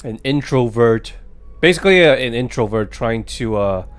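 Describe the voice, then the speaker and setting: Brief: man streaming a game, wry and joking, mid-pitched voice, faint music behind. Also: expressive, normal speed, clear, resonant tone. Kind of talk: casual let's play commentary.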